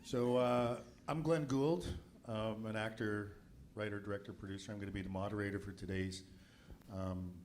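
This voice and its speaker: A man's voice: on the low side, fairly even intonation, moderate pace, darkish tone, slight background noise. A man from Nova Scotia moderating a film festival panel in a loose, chatty way with many fillers. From Nova Scotia, Canada.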